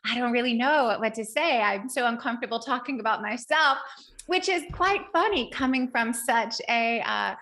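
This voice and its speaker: A woman's voice: a little high, pushed and bright. A woman co-hosting a podcast, chatting in an effusive, gushing way as she introduces and interviews. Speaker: podcast co-host.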